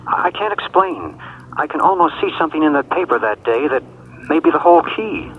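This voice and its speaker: An actor playing an intent paranormal investigator in an old radio mystery, urgent and questioning over a telephone filter. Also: male voice, a little high, huge pitch swings, a little fast, vocal fry, full character voice. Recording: noisy, muffled phone-filtered vintage radio.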